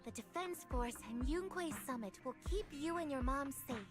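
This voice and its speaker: A loyal young female soldier, voiced by an actress in a game. She has a high, earnest, polite voice, set against loud music. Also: normal speed, lightly acted. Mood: reassuring, dutiful.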